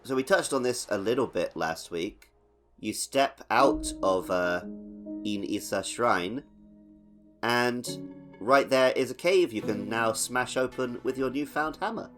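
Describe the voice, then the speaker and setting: A man's voice: mid-range voice, lively intonation, a little slow, fairly bright tone, faint music behind. A man co-hosting a casual video game podcast, explaining things in a conversational way. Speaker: podcast co-host.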